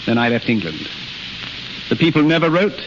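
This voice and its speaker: An actor playing a proud, grieving surgeon and father in a 1930s radio drama, speaking gravely and deliberately. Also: medium pitch, sing-song, natural voice. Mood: remorseful. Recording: hissy, muffled 1930s radio recording.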